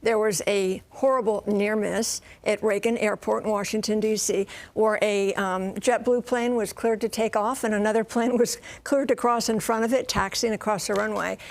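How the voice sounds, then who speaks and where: A woman's voice: mid-range voice, fairly even intonation, moderate pace, hardly any pauses. An American woman working as a news correspondent, explaining a story live in an informal, explanatory way. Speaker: transportation correspondent.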